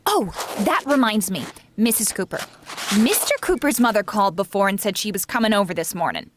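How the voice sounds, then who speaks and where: Woman playing a wry, animated radio sitcom maid. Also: mid-range voice, a little fast, background noise, close to an everyday voice. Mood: matter-of-fact. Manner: dry, knowing, deferential. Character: wry, loyal female housemaid in a radio comedy. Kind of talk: 1940s radio sitcom acting.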